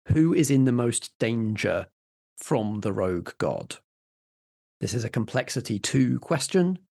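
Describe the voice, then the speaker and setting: Man, average pitch, game master, deliberate storyteller delivery, tabletop narration. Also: darkish tone.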